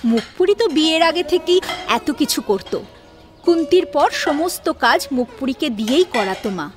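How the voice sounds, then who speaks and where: High-pitched, expressive female story narrator. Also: moderate pace. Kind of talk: expressive scripted narration of a domestic drama. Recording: music behind the voice.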